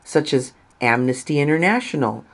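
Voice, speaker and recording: A woman reading a written article aloud in a deliberate, emphatic way with pauses between phrases. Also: sits low, expressive. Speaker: reader of an article.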